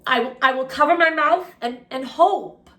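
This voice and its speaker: An earnest, determined man from a storybook, voiced by a woman reading aloud. The lines are raised in pitch and spoken with emphasis. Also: unhurried, sharp tone, slight background noise. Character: determined enslaved man planning his escape, earnest.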